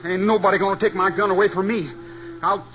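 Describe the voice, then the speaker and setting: An American actor playing a cocky young cowhand with a Western drawl and a defiant manner, in a 1950s radio western. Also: male voice, high-pitched, fairly even intonation, fast, fairly bright tone, soft background music. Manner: defiant, boastful. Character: cocky, hot-headed young cowhand boy with a gun. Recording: dull, hissy 1950s radio broadcast.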